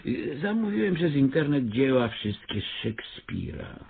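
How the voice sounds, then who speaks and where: An actor playing a pompous, excitable avant-garde theatre director in a radio comedy, stammering and grandiloquent. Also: male voice, medium pitch, a little slow, gravelly, slightly rough, narrow, tinny audio, full character voice. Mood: dismissive, self-assured. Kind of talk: radio comedy play acting.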